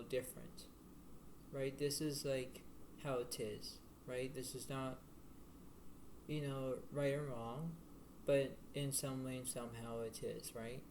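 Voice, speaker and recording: A man giving a casual tarot reading to the viewer in a halting, repetitive, rambling way. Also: a little mellow. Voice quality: low-volume voice. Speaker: tarot card reader. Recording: noisy homemade recording with room ambience.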